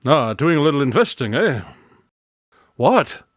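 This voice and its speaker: A man's voice: on the high side, sing-song, unhurried, no echo, clean studio sound. A man acting a shrewd, unflappable private detective in a modern radio drama, with a wry, knowing, teasing manner.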